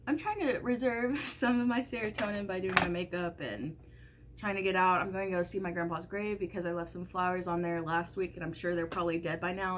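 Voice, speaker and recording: A woman's voice: fairly low pitch, slight background noise. Congested young woman chatting casually. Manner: rambling, filler-heavy, swears casually. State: congested, stuffy nose. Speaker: vlogger. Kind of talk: casual get-ready-with-me chat. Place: at home doing makeup.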